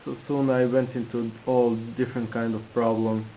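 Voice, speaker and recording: Man, interpreter, halting phrasing, flat monotone, middle pitch. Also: slight background noise, rough recording. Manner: halting non-native phrasing with restarts. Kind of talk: consecutive interpreting.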